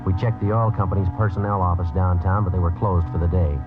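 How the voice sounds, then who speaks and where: A man acting as an American police detective in a vintage radio crime drama, speaking very low and fast. He is deadpan, methodical and terse, on a tinny old broadcast recording. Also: over music, natural voice. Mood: deadpan, factual. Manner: deadpan, businesslike. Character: male police detective, clipped, matter-of-fact, methodical narrator.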